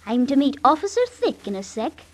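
A woman's voice: high-pitched, sing-song, fairly quick. An actress playing a naive, timid, lovestruck young working girl, wistful and earnest, in an old radio drama. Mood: dreamy, lovestruck.

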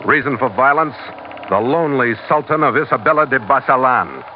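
Loud dramatic music runs behind a muffled old radio recording of a man acting a seasoned sea captain. He tells his log in a steady, clipped, hard-boiled voice of middling male pitch, in the style of 1940s adventure serials. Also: brisk, natural voice. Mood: terse, grim.

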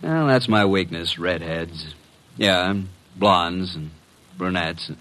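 An actor playing a breezy male detective's assistant in an old radio drama, with a wry, smooth-talking manner. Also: low voice, slow pace, fairly bright tone, poor audio. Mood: wry narrating. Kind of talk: 1940s-50s radio drama acting and narration. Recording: vintage radio drama recording.

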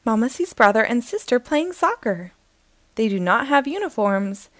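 Woman storytime reader, bright high voice with sing-song lift, dry close mic. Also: no echo. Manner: slow, expressive, sing-song reading. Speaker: storytime host reading aloud. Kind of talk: children's picture book read-aloud.

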